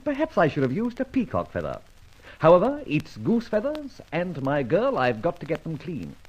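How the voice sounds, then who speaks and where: An actor playing a suave, indignant British gentleman thief in an old-time radio detective serial, with articulate, flippant delivery. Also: a little high, huge pitch swings, moderate pace, dull audio. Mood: amused, piqued. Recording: noisy old radio recording.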